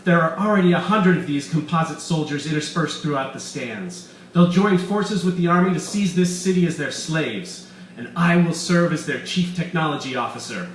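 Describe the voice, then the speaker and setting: A man's voice: on the high side, expressive, normal speed, pitched above the performer's own voice, close to an everyday voice. Man acting a gloating, pompous mad-scientist villain, roomy echo. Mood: gleeful. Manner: pompous, theatrical, exclamatory. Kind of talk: live short-story reading. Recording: roomy echo, distant mic, faint room noise.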